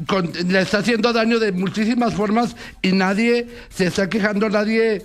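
Exasperated radio co-host. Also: male voice, high voice, fairly even intonation, moderate pace. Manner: exasperated, slangy.

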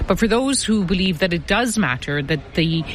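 A woman correspondent giving measured radio analysis, with frequent fillers and restarts. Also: on the low side, expressive, a little hiss or hum. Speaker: foreign correspondent.

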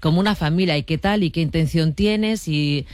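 A woman's voice: low voice, normal speed, darkish tone, a little hiss or hum. A woman hosting a conversational interview in an informal, reassuring way. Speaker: interviewer, radio host.

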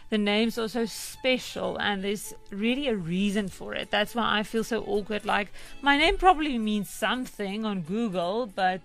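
A young woman with a South African accent presents a weekday morning radio feature, her first language Afrikaans. She talks in a chatty, easygoing way, pausing on ums as she thinks aloud. Faint music sits low under her mid-pitched voice. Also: creaky. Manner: warm, chatty, with frequent ums.

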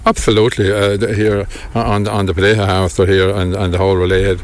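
A man speaking for a farmers' group outdoors on a farm, measured and halting with many restarts. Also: lowish voice, level tone, slight background noise. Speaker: farm organisation representative.

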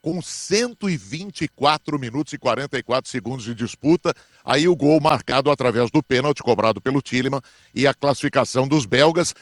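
Man, mid-pitched sports commentator; measured, explanatory radio delivery, close and dry sound. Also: no echo.

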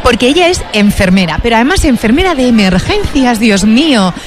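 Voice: mid-range voice, big pitch swings, a little fast. A host running a live on-location interview show, talking in an effusive, exclamatory way. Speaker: radio host.

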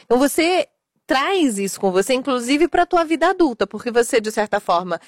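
A woman from Portugal, interviewing in a gentle, thoughtful way with long reflective questions. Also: high-pitched, very animated, a little fast, no room sound, clean studio sound.

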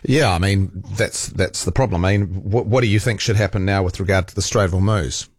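A man's voice: sits low, steady tone, brisk, breathy edge, no room sound, studio quality. A man with a New Zealand accent hosting talkback radio, chatting casually with callers in hesitant, conversational phrasing.

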